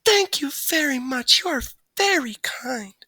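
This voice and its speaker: Woman doing a soft, musical little songbird. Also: high-pitched, expressive, a little slow, no echo, voice pushed up, exaggerated voice. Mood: gentle. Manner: prim and courteous. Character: small gentle female songbird, soft and musical.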